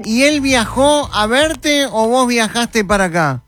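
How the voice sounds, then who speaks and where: A man's voice: very high voice, animated, slow pace, a bit bright. A man with a Uruguayan accent hosting a playful comedic phone interview, teasing and exclamatory as he questions his guest. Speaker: radio host interviewing a caller.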